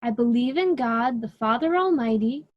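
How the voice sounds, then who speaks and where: A woman's voice: a little high, lively intonation, normal speed, lo-fi sound. A woman playing a vain, melodramatic young man of myth in a radio drama, declaiming each line. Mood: exasperated.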